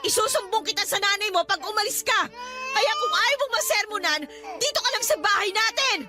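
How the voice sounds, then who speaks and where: A woman with a Filipino accent playing an exhausted, sharp-tongued young mother in a radio drama, scolding and sarcastic. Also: very high-pitched, animated, fairly quick. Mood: angry, bossy. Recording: music behind the dialogue.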